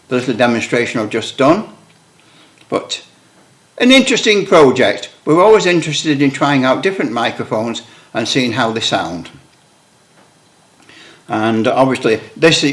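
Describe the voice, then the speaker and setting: A man's voice: mid-range voice, fairly bright tone. A man giving a casual hands-on demonstration of CB radio equipment, thinking aloud as he fiddles with settings and microphones. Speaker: CB radio hobbyist demonstrating gear. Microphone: headset microphone for part of the test.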